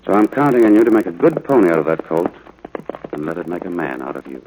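An actor playing a stern, gruff but fair ranch father in a 1940s radio drama, firm and clipped. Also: low-pitched, big pitch swings, fast talker, a little mellow. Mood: stern, earnest. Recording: noisy vintage radio broadcast.

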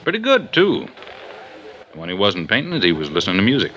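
Tired old-radio police inspector, plain talking, muffled sound, lots of hiss. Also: male voice, low voice, wildly swooping pitch, fairly quick. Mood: musing. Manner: plain-spoken, laconic. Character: haggard, tired, matter-of-fact male police inspector. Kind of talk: 1950s radio drama acting. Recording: dull, hissy 1950s radio recording with clicks.

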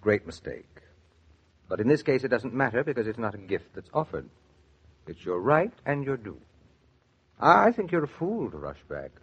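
A man's voice: medium pitch, sing-song, normal speed, long pauses, husky, dull audio. A man playing a kindly, persuasive family friend and colleague in a 1940s radio drama. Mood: persuasive, reassuring.

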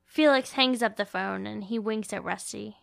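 A woman's voice: mid-range voice, moderate pace, creaky, fairly bright tone. A woman co-hosting a podcast, casually and playfully retelling a book and dramatizing as she goes. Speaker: podcast co-host recapping a novel. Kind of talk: casual book-recap chat with read-aloud dialogue.

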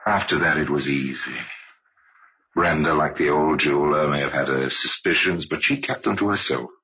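A man narrating old-time radio crime tales as a grave, sardonic storyteller in a measured, wry, dramatic way. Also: a bass voice, breathy edge, full character voice. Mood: grave, suspenseful. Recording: dull, noisy old radio recording.

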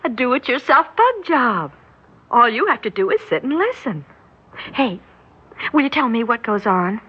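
An actress playing an eager, chatty college-age niece in a 1960s radio drama, girlish, excitable and confiding. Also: fairly high pitch, measured pace, slightly rough, full character voice. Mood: playful, conspiratorial. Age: young adult. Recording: muffled, noisy 1960s radio recording.

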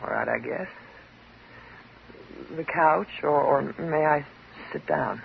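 An actress playing a bitter, neurotic psychiatric patient, sardonic and intense, in a 1950s radio drama. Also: female voice, low voice, takes it slowly, creaky, exaggerated voice. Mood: uneasy. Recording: muffled, noisy 1950s radio recording.

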